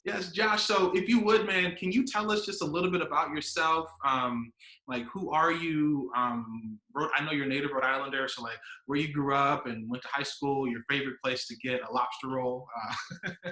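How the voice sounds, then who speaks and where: A man's voice: medium pitch, steady tone, moderate pace, pushed and bright, reverberant, poor audio. An upbeat American man, a pastor from Wisconsin, hosting an informal conversational interview over a video call. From Wisconsin, United States. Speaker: pastor and host.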